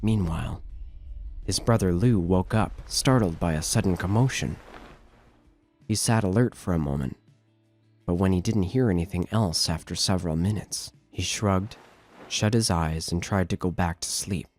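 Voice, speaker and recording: Low raspy guy narrating a creepy horror story, takes it slow, music underneath. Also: a little mellow.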